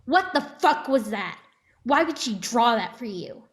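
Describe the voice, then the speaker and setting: A woman's voice: fairly high pitch, sing-song, measured pace. A woman playing a protective mother in a dramatic script reading. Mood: stern, threatening. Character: protective, stern mother, sweet to her child.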